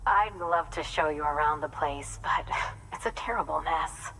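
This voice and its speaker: A woman's voice: low-pitched, measured pace, a bit bright, slight background noise, rough recording. An actor playing a friendly, chatty woman prepper who welcomes a courier in a game cutscene. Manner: chatty, friendly, self-deprecating. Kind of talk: video game cutscene acting.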